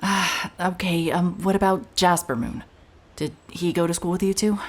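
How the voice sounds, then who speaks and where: A woman's voice: fairly even intonation, normal speed, close to an everyday voice. A woman playing a wry, irritable private investigator in an audio drama, with a dry, blunt, sardonic delivery. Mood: probing, guarded. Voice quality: low-pitched voice. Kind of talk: cinematic audio drama, noir narration and naturalistic dialogue.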